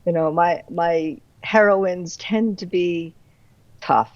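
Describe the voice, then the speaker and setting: A middle-aged woman chatting casually as an author in an interview, animated and quick to laugh. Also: on the low side, no room sound, dull audio. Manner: animated, laughs readily through her anecdotes.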